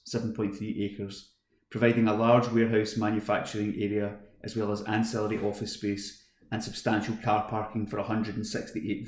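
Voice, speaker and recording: A man's voice: fairly low pitch, darkish tone. A man reading local newspaper stories aloud from a script, recorded at home. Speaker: volunteer newspaper reader. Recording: roomy, echoing home recording.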